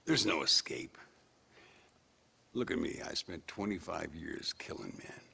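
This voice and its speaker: An actor playing a weary, wry old gunslinger in a video game, with a gravelly voice and an American Western drawl. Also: male voice, extremely low pitch, sing-song, measured pace, sharp tone, full character voice. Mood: resigned, wry. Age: older. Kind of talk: video game cutscene acting.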